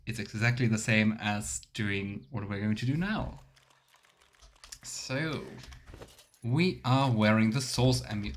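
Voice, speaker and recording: A man streaming a game with casual, rambling commentary, musing and thinking aloud. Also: lowish voice. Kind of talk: casual Let's Play commentary. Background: faint game music.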